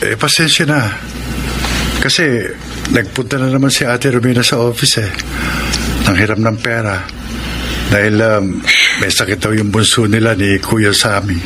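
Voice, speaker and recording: A man's voice: on the low side, fairly even intonation, normal speed, pauses often, close to an everyday voice. A man playing a young working husband and brother, patient but defensive, in a radio drama. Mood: apologetic, explaining. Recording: noisy radio drama sound, ambient effects.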